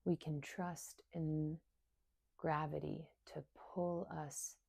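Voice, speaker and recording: A woman guides a meditation in a low, mellow voice with very little melodic movement. She speaks in short, soothing phrases with long pauses, and her phrases soften as they end. The delivery is slow and unhurried. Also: little pitch movement, creaky, husky, dry recording. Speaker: meditation guide and somatic coach.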